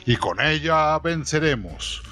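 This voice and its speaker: A man's voice: a little high, lively intonation, faint music behind, pitched above the performer's own voice, heavily acted. A man voicing a defiant rebel priest who declaims to rally his people in a radio story. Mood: solemn, commanding. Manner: declamatory, slow drawn-out shouting.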